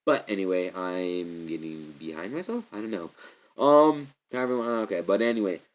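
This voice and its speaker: A young man with a stuffy, congested voice gives a rambling, excitable movie review, often restarting his sentences. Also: deep voice, normal speed. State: congested from a cold. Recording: slightly muffled lo-fi recording.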